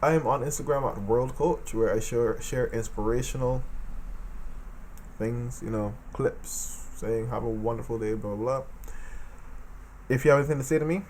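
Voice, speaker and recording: A man from the Caribbean talking casually about politics in an animated monologue, repeating phrases for emphasis. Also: medium pitch, moderate pace, a little hiss or hum. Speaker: political commentator.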